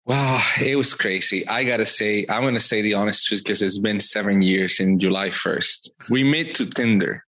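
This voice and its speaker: A man's voice: lowish voice, normal speed, hardly any pauses, pushed and bright. Man talking earnestly. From Puerto Rico. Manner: earnest, reflective, uses fillers. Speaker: podcast guest. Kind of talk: casual interview talk.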